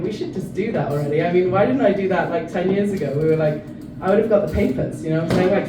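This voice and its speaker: A South African-born woman comedian in her thirties tells her set in a chatty, self-mocking way and keeps breaking into laughter. Her voice rings around an echoey club room and sits well back from the mic, with steady noise and room murmur behind her. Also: on the low side, recorded from a distance. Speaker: stand-up comedian.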